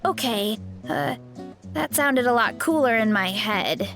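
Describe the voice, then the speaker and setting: Young game heroine, high voice, unhurried, earnest, gentle, slightly awkward. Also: female voice, animated, over music. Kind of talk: video game voice acting.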